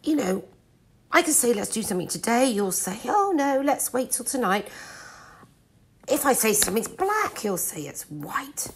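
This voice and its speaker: A woman's voice: on the high side, very animated, normal speed, a little hiss or hum. A woman playing a hurt, frustrated woman confronting someone close in a hesitant, weary dramatic monologue.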